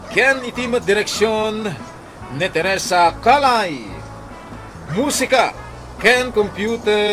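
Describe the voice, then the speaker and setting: A man with an Ilocano accent announcing a radio drama in a loud, declamatory scripted style. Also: sits high.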